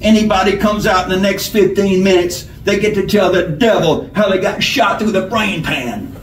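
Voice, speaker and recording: A man voicing a swaggering, menacing highway robber with a rough, folksy, bullying way of talking, in a storybook reading. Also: normal speed, close to an everyday voice. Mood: threatening. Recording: roomy, distant, noisy home recording.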